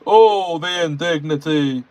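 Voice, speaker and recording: A man's voice: fairly high pitch, slow, darkish tone, pitched above the performer's own voice, poor audio. A man voicing Gordon, a pompous, boastful male steam engine who whines and sulks. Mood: indignant. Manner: whiny, indignant, boastful.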